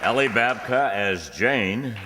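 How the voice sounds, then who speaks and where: A man's voice: fairly low pitch, animated, slow. A man announcing scripted credits as the announcer of a radio comedy show. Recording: echoey hall, crowd and clear noise.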